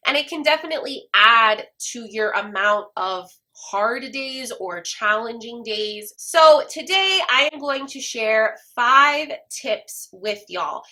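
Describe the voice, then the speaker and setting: Congested woman, bright voice. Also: on the high side, expressive, slow pace, hardly any pauses. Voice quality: congested, stuffy-nosed. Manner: earnest, gentle, encouraging. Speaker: homeschooling mom vlogger. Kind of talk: personal talk to camera.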